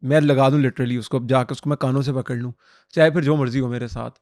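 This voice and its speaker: A man's voice: mid-range voice, fairly even intonation, fast, darkish tone, dry recording. A man co-hosting an interview, talking bluntly and emphatically. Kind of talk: casual interview talk.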